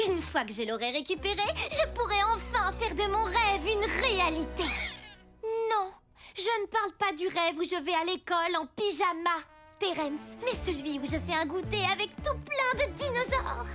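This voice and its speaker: A woman's voice: very high voice, animated, normal speed, music in the background. A woman playing a young, scheming female villain in a children's cartoon, plotting theatrically aloud. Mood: scheming, gleeful.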